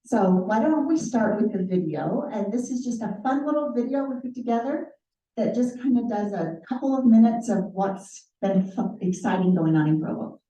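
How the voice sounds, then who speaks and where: A woman's voice: fairly high pitch, expressive, normal speed, warm tone. A middle-aged woman mayor giving a chatty, upbeat welcome at a community meeting, laughing easily. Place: city hall community room. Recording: echoey room, far from the mic, dull.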